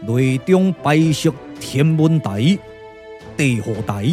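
An actor with a Taiwanese accent playing a solemn, weary strategist, speaking in measured, stately puppet radio drama style. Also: male voice, mid-range voice, animated, mellow, soft background music. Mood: grave.

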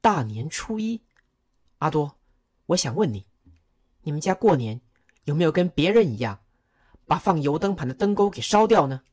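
A performer voicing a gentle, courteous male court advisor who speaks softly and patiently. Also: mid-range voice, very animated, normal speed, pauses often. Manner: soft, patient, kindly.

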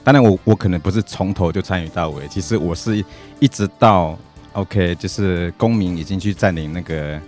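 Taiwanese guy with a deep voice, radio guest just chatting, earnest, some music under him. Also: normal speed, a little mellow. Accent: Taiwanese Mandarin. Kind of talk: casual radio chat.

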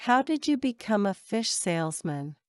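A woman reading short English phrases for a language lesson, saying each one slowly and carefully twice. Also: medium pitch, big pitch swings, dry recording, studio quality. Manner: measured, careful enunciation. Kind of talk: slow repeated drill phrases.

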